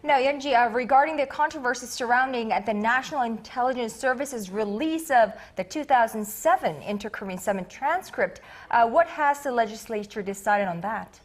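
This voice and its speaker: A woman's voice: a little high, normal speed, hardly any pauses. A woman anchoring a news broadcast, presenting headlines and putting questions to a reporter.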